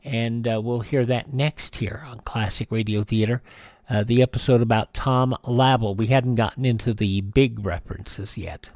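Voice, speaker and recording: A man's voice: mid-range voice, steady tone, normal speed, darkish tone, dry recording. A man hosting a radio show in a casual, rambling, folksy way.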